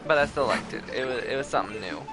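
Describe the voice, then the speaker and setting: A man giving casual gameplay commentary, thinking out loud as he plays. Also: medium pitch, animated, fast. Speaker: game streamer. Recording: loud game music behind voice. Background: game music and sound effects.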